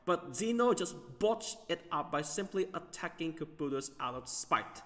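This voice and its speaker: A man hosting a gaming video, giving off-the-cuff commentary in a halting way, often stumbling over his words. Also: a little high, lively intonation, long reverb tail.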